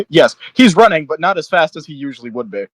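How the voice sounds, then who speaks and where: A man's voice: on the high side, sing-song, a little fast, no room sound, studio quality. A man playing a tabletop role-playing game, chatting casually with slang and swearing as he describes his character's actions.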